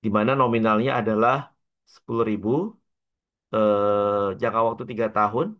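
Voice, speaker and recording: A man with an Indonesian accent lecturing as a teacher, working through an example step by step in a halting, repetitive way with frequent checking tags. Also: lowish voice, flat delivery, highs cut off. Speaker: accounting lecturer.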